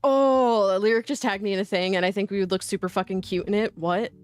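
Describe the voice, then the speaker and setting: A woman's voice: medium pitch, fairly even intonation, fairly quick, clear, resonant tone. A woman livestreamer rambling casually to her viewers in a playful, goofy way, full of slang and silly exclamations. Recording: music playing behind the voice.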